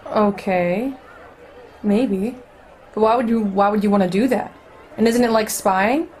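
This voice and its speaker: A woman's voice: medium pitch, unhurried, darkish tone, some chatter behind, slight background noise, close to an everyday voice, poor audio. An actress playing a wary, brusque but curious city woman in radio play dialogue. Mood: skeptical. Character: skeptical, brusque female New Yorker, wary but curious.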